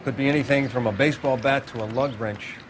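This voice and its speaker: A man's voice: medium pitch, fairly even intonation, lightly acted. Man playing a clinical coroner over background noise. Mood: clinical, detached. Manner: measured, clinical. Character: clinical, matter-of-fact male coroner at a crime scene. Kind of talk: cinematic video game acting.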